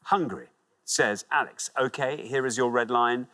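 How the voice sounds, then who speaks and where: A man's voice: normal speed, pushed and bright. A man hosting a TV quiz show, upbeat and encouraging, reading out questions and scores. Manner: upbeat, encouraging, big swings of pitch. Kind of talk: lively game show hosting. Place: television studio with audience.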